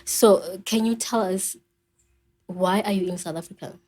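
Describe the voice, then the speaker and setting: Mellow-voiced woman hosting a podcast. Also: mid-range voice, moderate pace. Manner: stumbling restarts when asking. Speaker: podcast host. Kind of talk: conversational interview.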